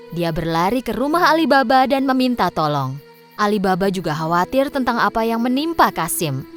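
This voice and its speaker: Female narrator for children's stories, high and lively, faint music underneath. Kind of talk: scripted children's storybook narration. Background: background music.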